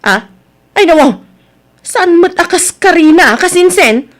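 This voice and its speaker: A woman's voice: extremely high pitch, animated, measured pace. A woman with an Ilocano Filipino accent playing a friendly, patient village market vendor in a radio drama. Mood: hurt.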